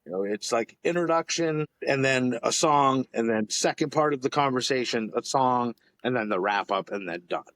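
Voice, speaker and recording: Brief: American podcast host, man in his fifties, rambling and chatty, mid-pitched, very dry sound. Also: moderate pace, few pauses, a bit bright, no echo. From Capital Region of New York. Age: 50s. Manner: rambling, filler-heavy storytelling with lots of laughs. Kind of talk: casual podcast chat.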